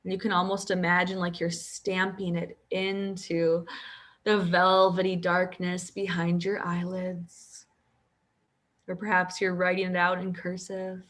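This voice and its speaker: A woman leading a guided sleep meditation in a slow, soothing voice that often drops close to a whisper. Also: fairly low pitch.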